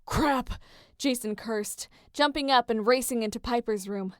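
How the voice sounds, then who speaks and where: A woman narrator reads fiction in a dramatic style. Her voice sits fairly high, and the recording is dry and free of room sound. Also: normal speed, clear, resonant tone, dry recording. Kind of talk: dramatic scripted story narration.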